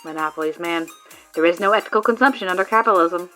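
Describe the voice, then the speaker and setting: A woman's voice: fairly low pitch, fast, bright, forceful tone, faint music behind, low-quality recording. Rosara, a blunt companion, as a woman voices her. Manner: blunt, matter-of-fact. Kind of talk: fan audio drama acting.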